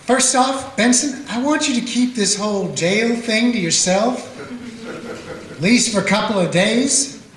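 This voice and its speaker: A man's voice: high-pitched, measured pace, a bit bright, a little hiss or hum. A man playing a folksy Western sheriff. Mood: steady. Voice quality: folksy rural grammar. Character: plain-spoken Western small-town sheriff, steady man. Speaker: small-town sheriff Jake, narrating. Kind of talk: amateur radio play acting with narration. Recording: roomy echo.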